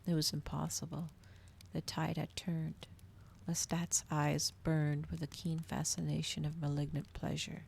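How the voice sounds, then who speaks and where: A woman reading a story aloud in a soft, breathy ASMR style, with dramatic storytelling delivery, close to the microphone. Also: deep voice, level tone, unhurried, a little hiss or hum. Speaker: streamer reading a novel aloud.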